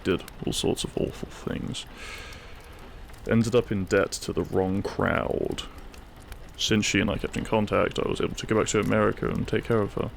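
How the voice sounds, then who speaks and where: A devoted, wry husband , acted by a man in an intimate audio roleplay. His voice is fairly low with a gravelly creak. He speaks dryly and fondly, with faint ambience behind him. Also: fairly even intonation, moderate pace, a little hiss or hum. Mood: grave recounting. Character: devoted, wry British husband sheltering a guest.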